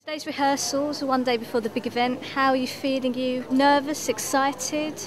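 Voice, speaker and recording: A woman's voice: sits high, fairly even intonation, normal speed. A woman interviewing contestants for a documentary, friendly and asking short questions. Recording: loud crowd and music behind.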